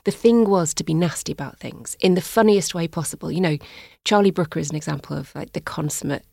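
A woman's voice: low voice, fast talker, gravelly, darkish tone, husky, no echo. A woman in her forties from north-west England, a guest in a studio interview, speaking thoughtfully and searching for her words with restarts. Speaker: guest, music journalist. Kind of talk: reflective conversational interview.

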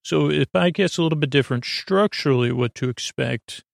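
Creaky-voiced man rambling soothingly. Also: fairly high pitch, dry recording, studio quality. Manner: halting, stammering, trailing into tangents; soothing, lulling, gently whimsical. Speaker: host of a bedtime story podcast. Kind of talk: rambling, meandering sleep-podcast monologue.

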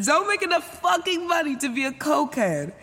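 A woman's voice: high voice, very animated, unhurried, pushed and bright, in a large hall, heavily acted. An actress playing a frank, foul-mouthed young woman, newly sober, rambling through a confessional audio drama in sarcastic slang. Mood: frank, defensive. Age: young adult. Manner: rambling, self-interrupting, sarcastic, casual slangy talk. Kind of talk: confessional first-person audio drama narration.

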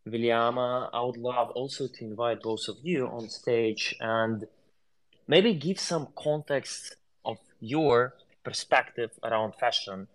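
Host type: a man at middle pitch, gushing and upbeat, inviting guests to speak. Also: fairly bright tone, low-quality recording. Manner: enthusiastic, effusive praise. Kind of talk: live audio space discussion.

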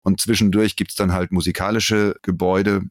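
A man's voice: low-pitched, level tone, normal speed, no echo, clean studio sound. A man being interviewed as a performer, talking casually and thoughtfully as he explains his work. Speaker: interviewed voice actor and live performer. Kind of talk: casual interview talk, anecdotes.

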